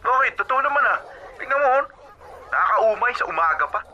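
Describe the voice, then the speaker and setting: A man's voice: very high-pitched, lively intonation, fairly quick, lo-fi sound, a little hiss or hum, full character voice. An actor playing a smug young man, a mocking childhood friend with an offhand, taunting manner, in a comedic drama. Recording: loud music behind voice.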